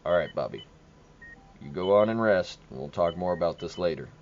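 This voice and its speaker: A man playing a plainspoken small-town police officer in an audio horror drama, speaking in a measured way. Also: sits low, animated, darkish tone. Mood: gentle, concerned. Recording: dull lo-fi audio, faint noise.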